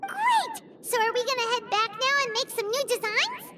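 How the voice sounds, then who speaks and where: A woman voicing a small, excitable and anxious childlike fairy companion in a video game, with a squeaky, exclamatory delivery. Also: moderate pace, heavy background noise. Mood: eager. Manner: exclamatory, speaks of herself in third person. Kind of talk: video game character acting. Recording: game music loud behind voice. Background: loud game music.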